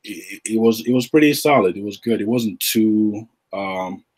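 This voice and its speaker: A middle-aged man from Uganda, a retired basketball player, answering podcast questions in a relaxed, halting way with many fillers. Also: fairly low pitch, slightly rough. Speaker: guest, retired pro basketball player and agent. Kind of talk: podcast interview.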